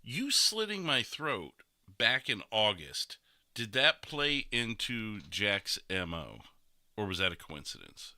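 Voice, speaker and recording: A man hosting a casual podcast chat, talking in an animated, excitable way. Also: on the low side, slow, no echo. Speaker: podcast host and roleplay streamer.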